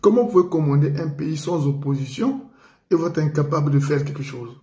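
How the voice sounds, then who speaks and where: A man's voice: fairly high pitch, brisk, warm tone, echoey room. A man from the Republic of the Congo giving an indignant political commentary on community radio. He repeats himself for emphasis and speaks with feeling. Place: living in France.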